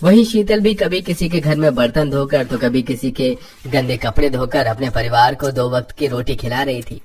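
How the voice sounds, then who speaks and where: A man's voice: medium pitch, normal speed, a little mellow, a little hiss or hum. A man narrating a scripted cartoon story as the storyteller.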